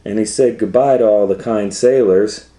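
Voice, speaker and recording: A man reading a children's story aloud as storytime host, pausing to explain things simply in a conversational way. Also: lowish voice, warm tone.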